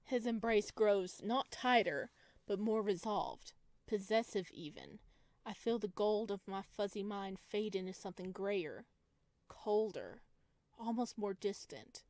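A woman's voice: mid-range voice, animated, measured pace, a little mellow, no echo. A woman hosting a gaming video, reading visual novel narration expressively with casual asides.

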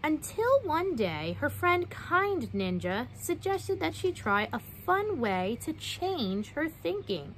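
Casting: young woman, children's read-aloud presenter, very lively intonation, questioning delivery, recorded outdoors with slight background noise. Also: high voice, takes it slowly, hardly any pauses, poor audio. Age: young adult. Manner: expressive, questioning, addressing young listeners. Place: outdoors on a wooden backyard deck.

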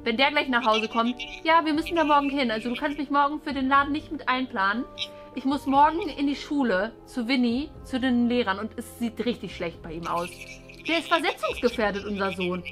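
A young woman playing a flustered, indignant mother of two in an improvised family sketch, exaggerated and halting. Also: high voice, fairly bright tone, soft background music. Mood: exasperated. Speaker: actor in a family web series. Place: bright furnished rooms indoors.

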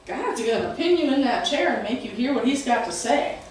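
A middle-aged woman preaching a sermon in a small church room, with a big, carrying voice and lively gestures, recorded without a microphone. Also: on the high side, fairly quick, a little hiss or hum. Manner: loud voice that carries, animated and gesturing. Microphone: far camera, no microphone. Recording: very echoey room, voice heard from a distance.

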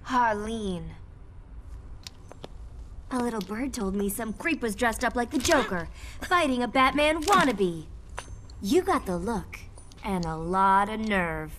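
A woman's voice: fairly high pitch, animated, fairly bright tone, slight background noise. An actress playing a brash, tough-talking female villain sidekick in a video game cutscene. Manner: brassy, tough-talking, drawn-out.

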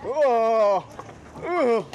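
A man's voice: very high voice, animated, extremely slow, a little mellow, slight background noise. A young man presenting on location outdoors, exclaiming in wonder as he explores a market and boat. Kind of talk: on-location travel commentary.

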